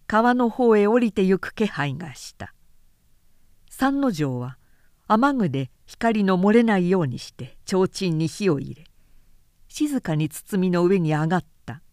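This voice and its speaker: A woman narrator giving a measured, clearly articulated reading of literary fiction in audiobook style. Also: fairly low pitch, expressive, a little mellow, no echo, studio quality.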